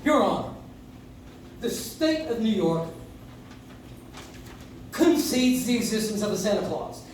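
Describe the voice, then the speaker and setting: A pompous prosecutor played by a man declaims in a high voice at a slow, deliberate pace, stiff and indignant. It is radio courtroom drama, heard from far off in a very echoey room. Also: big pitch swings, darkish tone, a little hiss or hum. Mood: indignant, formal. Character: exasperated, pompous male prosecutor, stiffly formal. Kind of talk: old-time radio courtroom drama acting. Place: coffee shop stage, recorded from the audience. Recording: echoey live stage, far-off audience recorder.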